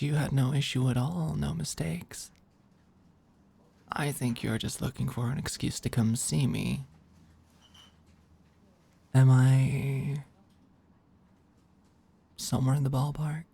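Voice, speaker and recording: A performer of unclear sex playing a flirty, overworked office manager in an intimate ASMR roleplay, with a halting, teasing murmur. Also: mid-range voice, vocal fry, dark tone, breathy edge, full character voice. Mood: teasing, affectionate.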